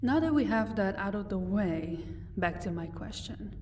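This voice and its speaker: A woman's voice: mid-range voice, expressive, moderate pace, darkish tone, close to an everyday voice. Distant-miked mocking vampire lady, acted by a woman. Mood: cool, commanding. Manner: taunting, condescending, composed. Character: powerful female vampire, mocking, commanding, married lady of an estate. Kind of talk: audio roleplay acting addressed to the listener. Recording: distant microphone, faint background noise.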